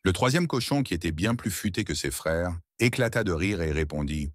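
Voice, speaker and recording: A man narrating a scripted children's story as storyteller, in an expressive, dramatic way. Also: low-pitched, normal speed.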